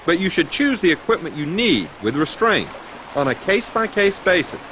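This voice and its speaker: A man with an American accent narrating a scripted training film as an instructor, methodical and clear in his delivery. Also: high voice, big pitch swings, normal speed. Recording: noisy 1990s training film soundtrack.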